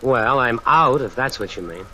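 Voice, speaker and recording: A man's voice: medium pitch, animated, moderate pace, sharp tone. An actor playing a sullen, tough young American workingman in a 1940s radio drama, terse and defiant. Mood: guarded. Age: young adult. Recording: hissy, noisy old radio broadcast.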